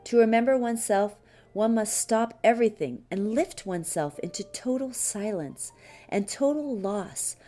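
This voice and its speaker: A woman reading a spiritual teaching text as an audiobook, measured and deliberate, pausing often between short phrases. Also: a little high, warm tone. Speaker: audiobook reader. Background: music behind the voice.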